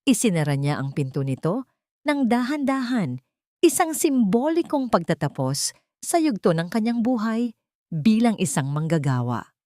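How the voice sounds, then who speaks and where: A woman narrating a scripted love story in a measured, sentimental, dramatic storytelling style. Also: mid-range voice, sing-song, dark tone, no room sound, clean studio sound.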